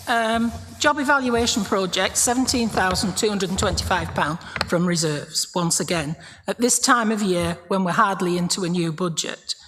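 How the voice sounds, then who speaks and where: A woman councillor speaks at a council meeting, blunt and critical as she presses officers with pointed questions. Her mid-pitched voice carries the long reverb of a large hall. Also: normal speed, hardly any pauses, a bit bright. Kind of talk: formal meeting debate.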